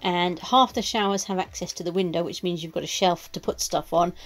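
A woman vlogger talking casually to camera, practical and chatty, pausing often with "uh". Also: lowish voice, fairly even intonation. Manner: chatty and practical, with many "uh" pauses. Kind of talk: casual vlog narration. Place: campsite shower block, indoors.

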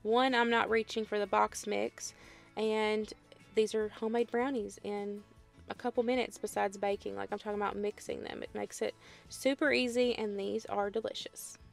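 Southern woman, 30s, chatty recipe voice-over, light vocal fry, faint music bed. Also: mid-range voice, flat delivery, moderate pace. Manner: chatty, friendly how-to explaining with "y'all" and "um". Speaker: home cooking vlogger. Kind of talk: casual cooking voice-over. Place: home kitchen.

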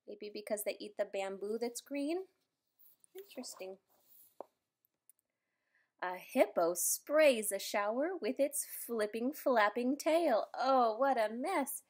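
A woman reading a children's picture book aloud, adding playful, expressive asides for young listeners. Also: on the high side, slow pace, long pauses, a little hiss or hum. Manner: playful, expressive, simple child-directed delivery with exclamations. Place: indoors in a room at home.

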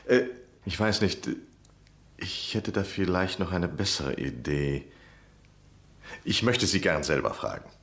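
A courteous gentleman of a radio play, with a low voice. He is eager and effusive, flattering and persuading with polished politeness. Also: slow, vocal fry, pauses often, exaggerated voice. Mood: eager, persuasive. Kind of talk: radio drama acting.

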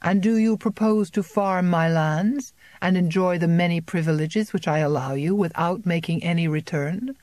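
Reader doing a smooth calm young landlord, polite and cool, a bit condescending. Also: mid-range voice, moderate pace, hardly any pauses, no echo, close to an everyday voice.

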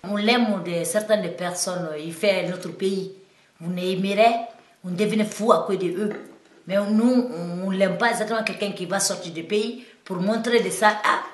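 A woman's voice: mid-range voice, roomy sound. A woman from Guinea with a Guinean French accent, talking as a singer in an interview, in simple, broken French full of restarts that grows heated and emphatic. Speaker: singer and musician.

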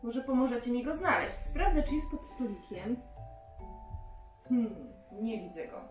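Higher-pitched woman presenting to children, roomy sound. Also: animated, measured pace. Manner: addresses young children directly with simple questions. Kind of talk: hands-on craft and game instruction for children. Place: child's bedroom indoors. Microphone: camera a few metres away. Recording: roomy, distant camera sound with music.

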